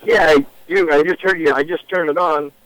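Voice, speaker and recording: A man's voice: on the high side, steady tone, moderate pace, a bit bright, no room sound, low-quality recording. A man, chatting casually as a caller to a radio show. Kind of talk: casual chat.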